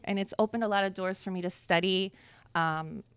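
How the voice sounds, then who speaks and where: A woman's voice: mid-range voice, steady tone, normal speed, clear, resonant tone, no room sound. A woman in her thirties from Florida, telling her story candidly as a guest at a live podcast interview. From Florida, USA. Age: 30s. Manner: candid, chatty, laughs easily. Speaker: guest dancer. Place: live podcast event in Miami. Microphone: live event microphone.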